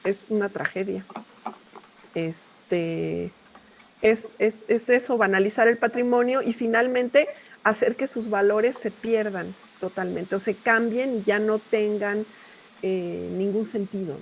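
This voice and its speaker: A woman's voice: mid-range voice, fairly even intonation, takes it slowly, vocal fry, a little hiss or hum, poor audio. A middle-aged woman with a Mexican accent teaching a class in an informal, discursive, conversational way, often checking in with short tag questions. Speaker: university lecturer.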